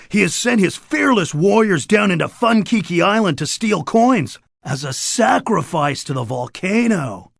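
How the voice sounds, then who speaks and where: An actor playing a laid-back, boastful surfer dude creature in a cartoon game, talking in upbeat beach slang. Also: male voice, high-pitched, vocal fry, dry recording, studio quality, heavily acted. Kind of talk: animated game character dialogue.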